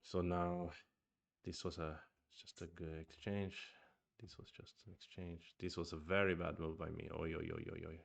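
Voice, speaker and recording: A man's voice: deep voice, flat delivery, moderate pace, vocal fry. A man streaming a review of his own go games, thinking aloud in a casual chat with murmured asides and restarts; his first language is Swedish.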